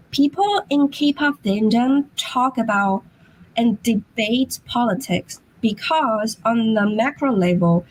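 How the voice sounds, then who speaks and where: A woman with a hoarse, husky voice presents academic research over a remote call. She talks slowly and carefully, with frequent pauses and fillers, and her voice sits a little high. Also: low-quality recording. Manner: slow, halting, apologetic. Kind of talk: remote conference talk.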